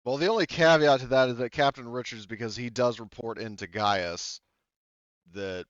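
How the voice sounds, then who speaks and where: Game master, male, low smooth voice, casual and profane, muffled dry recording. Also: moderate pace, no echo. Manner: loose, casual, swears freely. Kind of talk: game master narration and rules talk.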